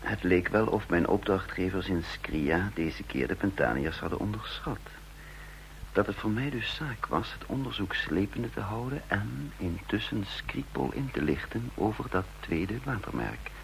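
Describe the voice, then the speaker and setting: A man's voice: fairly low pitch, expressive, a little slow, creaky, slight background noise, poor audio. An actor playing a calculating male double agent who narrates his moves in a radio drama. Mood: scheming.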